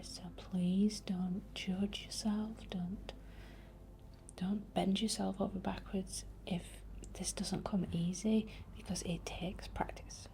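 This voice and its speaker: Whispering Northern English woman, soft ASMR. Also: medium pitch. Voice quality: breathy, unvoiced whisper. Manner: soft, unhurried, reassuring. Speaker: ASMR creator and witch. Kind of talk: whispered ASMR, gentle spiritual affirmations.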